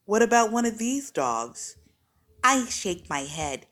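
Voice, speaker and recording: A woman reading a children's story aloud in an expressive, clearly phrased way. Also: medium pitch, slow. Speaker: storybook reader.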